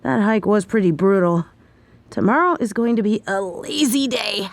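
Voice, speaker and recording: Hushed young woman telling a horror tale. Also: mid-range voice, moderate pace, vocal fry. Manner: hushed, tense storytelling. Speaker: audio script performer. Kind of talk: scripted horror narration. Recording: very echoey room.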